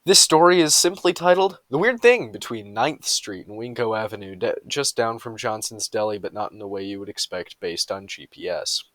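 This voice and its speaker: A man's voice: lowish voice, animated, normal speed, few pauses, a bit bright, no room sound. Man reading silly bedtime stories, dry sound. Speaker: storyteller reading short comic stories. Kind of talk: humorous bedtime story read aloud.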